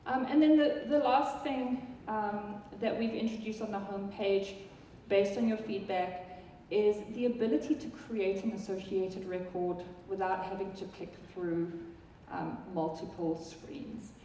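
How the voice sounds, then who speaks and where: A woman's voice: medium pitch, steady tone, unhurried, few pauses, mellow, slight background noise. A woman from South Africa with a South African accent, giving a chatty live product talk to an audience, with many ums and restarts. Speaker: software product presenter. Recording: echoey hall from far off.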